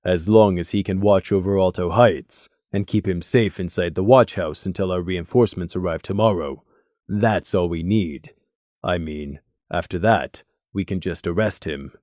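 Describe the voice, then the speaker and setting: A man voicing a reserved male winged pony guard with an understated, halting manner in an audiobook story. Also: low-pitched, darkish tone, dry recording, studio quality, pitched below the performer's own voice, lightly acted. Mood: grim. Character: Crimson, low-voiced reserved male pegasus guard stallion.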